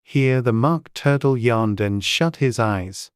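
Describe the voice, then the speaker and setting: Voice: mid-range voice, takes it slowly, clear, resonant tone, no echo, clean studio sound. A narrator reading a children's classic as a scripted storybook audiobook.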